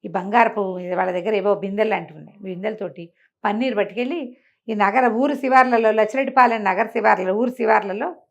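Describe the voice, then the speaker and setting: A woman telling a folk tale in an animated, conversational way, acting out the characters' exclamations. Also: mid-range voice, fast, gravelly, dull audio. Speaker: storyteller. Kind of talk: animated oral folk storytelling to a listener.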